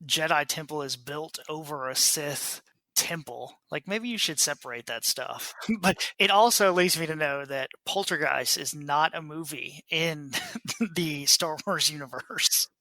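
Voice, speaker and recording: A man's voice: fairly high pitch, unhurried, sharp tone, no echo, low-quality recording. A man co-hosting a casual book club podcast with a wry way of talking. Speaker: podcast co-host.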